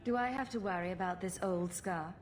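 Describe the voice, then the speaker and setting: An actress playing a sarcastic young rogue heroine in a video game, dry and teasing. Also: female voice, fairly low pitch, fairly quick, a little hiss or hum, close to an everyday voice. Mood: curious, wry. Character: wry, sarcastic young woman rogue hero. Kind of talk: video game character acting.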